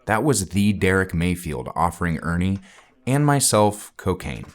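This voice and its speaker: An actor playing an earnest, deferential podcast host and devoted fan, sometimes hesitant, in a scripted mock interview. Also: male voice, low-pitched, sing-song, normal speed. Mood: earnest narration.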